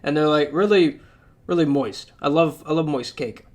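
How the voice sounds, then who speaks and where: Young man, slightly high voice, hoarse from losing his voice, rambling, chatty game commentary. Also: normal speed, a little mellow. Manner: rambling, chatty, many fillers.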